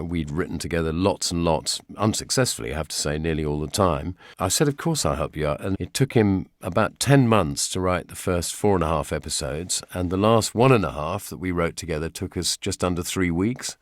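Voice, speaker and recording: A man's voice: low voice, animated, fairly quick, hardly any pauses, no echo, clean studio sound. A man with a British accent reminiscing fluently and vividly in an anecdotal documentary interview.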